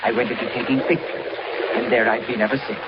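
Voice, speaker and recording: An actor playing a genial, fussy elderly gentleman traveler in a 1940s radio serial, genteel and rambling, repeating himself. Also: mid-range voice, steady tone, quick pace, close to an everyday voice. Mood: genial, chatty. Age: older. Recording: muffled, very noisy old radio recording.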